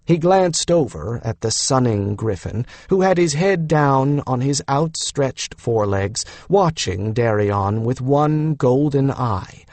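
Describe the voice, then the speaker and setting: A man's voice: mid-range voice, expressive, slow pace, hardly any pauses, darkish tone, dry recording. A man reading scripted fantasy fiction as an audiobook narrator.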